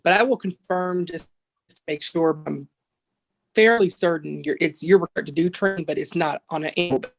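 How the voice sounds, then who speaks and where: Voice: mid-range voice, normal speed, gravelly. An adviser speaking carefully at a formal board meeting, qualifying each point as they go. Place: virtual meeting call.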